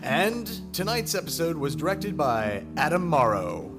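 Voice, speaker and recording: A man's voice: on the high side, very animated, a little slow. A man announcing scripted credits in a formal, theatrical old-time radio style. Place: theater stage, live recording. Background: loud live music.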